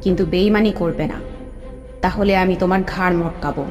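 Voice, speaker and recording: A woman's voice: lowish voice, steady tone, normal speed, darkish tone, natural voice. A woman voicing the sorrowful, pleading and commanding ghost of a young widow in a horror cartoon. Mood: commanding, eerie. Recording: long hall reverb, music behind.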